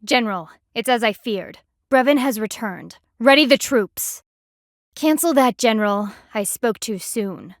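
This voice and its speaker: Game role: stern panda army lieutenant, woman, highish voice, formal and imperious, dryly disdainful, very dry close sound. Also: expressive, measured pace, dry recording. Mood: grave. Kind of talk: video game voice acting.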